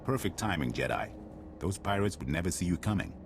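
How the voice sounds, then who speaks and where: An actor playing a wry, world-weary military commander in a video game, with a blunt manner. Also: male voice, low-pitched, animated, brisk, gravelly, over music. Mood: wry, irritated. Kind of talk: video game cutscene acting.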